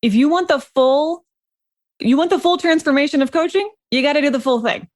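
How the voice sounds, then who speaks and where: A woman co-hosting a coaching podcast in casual chat, her voice slightly raspy from a lingering cough. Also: high-pitched, a little fast. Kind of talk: casual chat between co-hosts.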